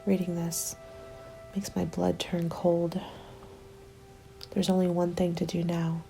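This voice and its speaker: A woman reading scripted ASMR roleplay narration in a breathy, hushed and grave, deliberate way. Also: lowish voice, monotone, soft background music, poor audio.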